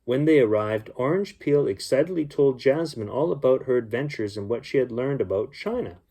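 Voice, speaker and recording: A man with a soft-edged, mellow tone reads a bedtime story for children. His delivery is gentle and unpolished, moving between storybook reading and informal talk to his young listeners. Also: mid-range voice, lively intonation, normal speed, slightly rough. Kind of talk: storybook read-aloud with casual asides.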